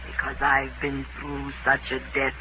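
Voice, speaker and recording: A woman's voice: a bass voice, completely flat delivery, unhurried. A woman playing a doomed, fanatical wife in a 1940s radio drama, melodramatic and incantatory. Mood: fevered resolve. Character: doomed, fanatical wife haunted by past death. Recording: muffled, noisy old radio recording.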